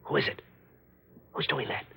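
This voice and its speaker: An actor playing a tough, streetwise American fugitive who narrates in slangy hardboiled style in a 1940s radio thriller. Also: male voice, moderate pace, very noisy, lightly acted. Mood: hardboiled, brooding. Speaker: actor and first-person narrator. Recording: old radio recording with loud music.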